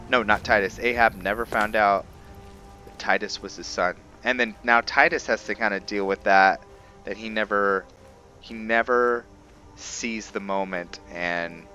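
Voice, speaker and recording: A man with a fairly low, bright voice commentates casually on his roleplay game. He talks slowly and haltingly, musing aloud as he tells the story, with faint music behind him. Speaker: host of a game roleplay series. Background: background music.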